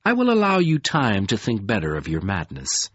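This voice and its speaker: A man doing a deep, sneering dark lord. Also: wildly swooping pitch, slightly rough, no echo, dull audio, exaggerated voice. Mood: menacing. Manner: slow, deep, sneering delivery. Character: scornful ancient male dark lord, mocking. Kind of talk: audiobook character voice.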